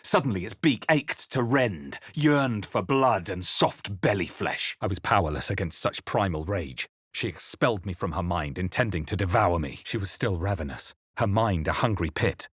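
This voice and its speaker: Deep, brooding adventurer recalling dark visions. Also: male voice, animated, husky, no room sound, clean studio sound. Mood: grave, haunted. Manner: measured, solemn, ominous storytelling. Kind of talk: dramatic first-person scripted game narration.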